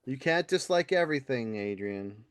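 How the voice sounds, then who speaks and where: A middle-aged man co-hosting a casual podcast, talking in an animated, rambling way and swearing freely. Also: mid-range voice, normal speed, clear, resonant tone, no room sound. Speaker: podcast co-host. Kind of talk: casual profane podcast chat.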